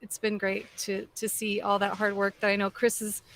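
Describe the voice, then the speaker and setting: A woman band member answering interview questions in a halting way, with many fillers. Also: medium pitch, monotone, slight background noise, poor audio. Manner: warm, halting, full of fillers.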